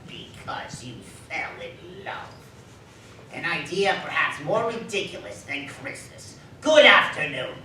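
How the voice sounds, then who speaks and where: A woman's voice: sits low, lively intonation, takes it slowly, bright, forceful tone, exaggerated voice. A woman playing a bitter, miserly old man in a live radio drama, scornful, curt and emphatic. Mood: curt, dismissive. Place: live community theatre performance. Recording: roomy live stage, distant mic, faint noise.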